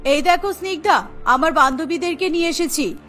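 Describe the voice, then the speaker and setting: A woman plays a money-minded cartoon housewife, eager and chatty. Her female voice is very high and bright over soft music. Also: a little fast. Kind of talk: Bengali cartoon voice acting.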